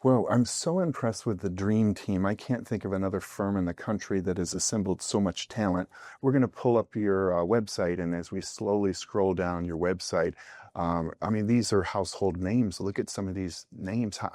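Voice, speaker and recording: Low, mellow-voiced male talk show host. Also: normal speed, no room sound, studio quality. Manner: enthusiastic, complimentary. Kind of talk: studio interview. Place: TV studio.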